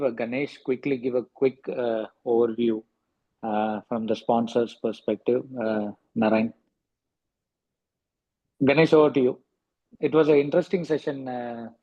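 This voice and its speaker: A man on an online meeting thanking the speaker and handing over, halting and appreciative. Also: medium pitch, warm tone. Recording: dull lo-fi call audio.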